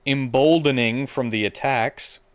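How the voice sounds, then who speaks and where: A man's voice: medium pitch, a little slow, clean tone, dry recording. A man running a tabletop role-playing game as game master, narrating the action in a playful way. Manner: acts out combat with playful sound effects. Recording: music playing behind the voice. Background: background music.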